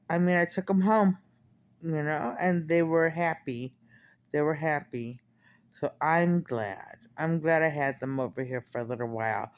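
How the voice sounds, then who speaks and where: A woman's voice: low voice, clear, resonant tone. A woman in her fifties chatting casually in a rambling personal diary style, often repeating and correcting herself. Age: 50s. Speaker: personal vlogger.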